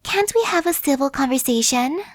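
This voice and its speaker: A woman's voice: sits high, expressive, normal speed, no echo, studio quality. A woman voicing a chatty, friendly female zombie who coaxes and persuades in a casual, sly way, in an ASMR roleplay. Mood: persuasive.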